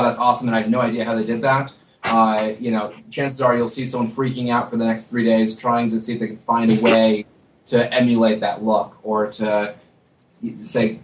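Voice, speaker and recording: A man in his twenties, a studio artist, chatting casually with lots of fillers and self-deprecating humor. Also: on the low side. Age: 20s. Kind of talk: casual panel chat. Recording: roomy, distant mic with faint noise.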